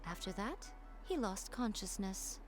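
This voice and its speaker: An actress voicing a sly, elegant, teasing fox shrine maiden with a composed, coy manner in a video game. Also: female voice, medium pitch, expressive, soft background music, natural voice. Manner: composed, coy, mischievous, measured. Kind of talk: animated video game voice acting.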